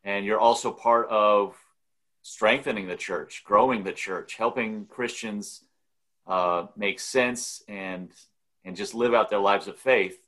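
A male podcast host talks in a measured, encouraging way as he leads an interview. His voice sits a little low for a man. He speaks steadily and warmly to his guest.

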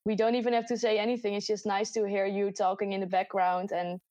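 A young Dutch woman in her twenties speaks English with a clear Dutch accent, chatting easily and breaking into laughter. Her voice sits at an ordinary middle pitch. The sound is dry and close, with no room around it. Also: level tone, fast, darkish tone, dry recording. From Netherlands. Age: 20s. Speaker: podcast co-host. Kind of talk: casual chat. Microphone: voice chat recording.

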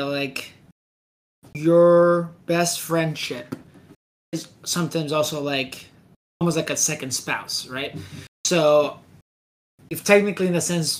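A podcast co-host in their thirties, asking questions haltingly with many pauses. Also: mid-range voice. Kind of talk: casual interview.

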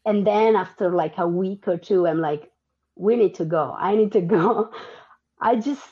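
A woman's voice: mid-range voice, measured pace, husky. A woman who grew up in Italy, a podcast guest chatting casually and hesitantly with lots of ums and restarts. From Argentina and Italy. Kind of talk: casual interview chat. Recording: dull lo-fi audio.

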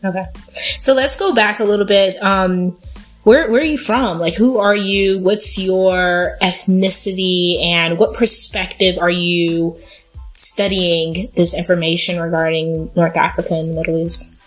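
A woman's voice: fairly low pitch, fairly even intonation, measured pace, soft background music. A woman hosting a podcast, asking plain questions and giving short responses. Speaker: podcast host. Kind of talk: casual interview.

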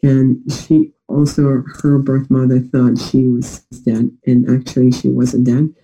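A man's voice: mid-range voice, monotone, unhurried, dark tone, rough recording. A young man with a New York-like accent and a congested, nasal voice, hesitantly telling personal stories as an interview guest. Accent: New York-like American. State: congested from a recent illness.

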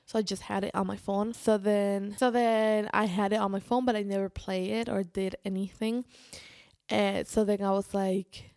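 Creaky-voiced woman chatting casually. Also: mid-range voice, flat delivery, a little mellow, no room sound, studio quality. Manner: informal, halting, restarts sentences and quotes herself often. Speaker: vlogger. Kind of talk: casual personal storytelling to camera.

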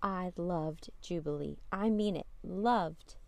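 A woman reading a children's picture book aloud as a storytime host, with expressive emphasis on key words. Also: lowish voice, takes it slowly, creaky, no echo. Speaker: storytime podcast host and reader.

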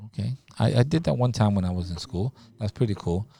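A man's voice: fairly low pitch, expressive, brisk, creaky, warm tone. Easygoing middle-aged man chatting with kids. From United States. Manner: easygoing, repeats himself, restarts often. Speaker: staff member talking with children. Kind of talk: casual chat with kids.

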